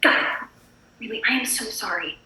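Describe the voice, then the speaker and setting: A woman's voice: on the high side, moderate pace, pushed and bright. A woman playing an anxious, polite suburban housewife in a screenplay table read, prim and chirpy. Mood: fussy, apologetic. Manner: prim, apologetic, fussy. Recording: echoey room.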